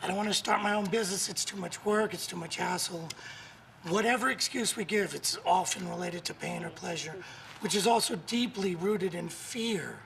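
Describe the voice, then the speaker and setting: A hoarse man with laryngitis, a guest author and coach talking in an upbeat, emphatic, motivational way on a morning show. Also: sits high, moderate pace, a bit bright, poor audio.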